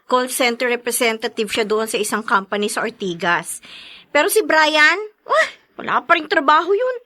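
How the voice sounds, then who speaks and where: A woman playing a gossipy, sympathetic friend in a Tagalog radio drama, animated and confiding. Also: high voice, normal speed, full character voice.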